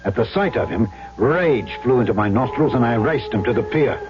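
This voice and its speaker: An actor playing a rugged trader, giving dramatic first-person narration in a vintage radio play. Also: male voice, mid-range voice, lively intonation, brisk, close to an everyday voice. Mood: vivid, heated. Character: rugged trader recounting his own adventure. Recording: dull vintage radio recording with music.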